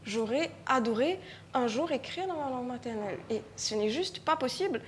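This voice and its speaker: A Mauritian woman with a Mauritian French accent, a writer speaking reflectively on a live conference panel, often pausing and repeating words as she searches for them. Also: sits high, lively intonation, slight background noise.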